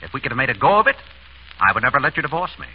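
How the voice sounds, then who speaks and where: A man's voice: mid-range voice, racing, breathy edge. An actor in a 1930s radio comedy-mystery playing an urbane, dryly witty doctor exasperated by his ex-wife. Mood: fond exasperation. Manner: urbane, wry, deadpan. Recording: dull, noisy 1930s radio recording.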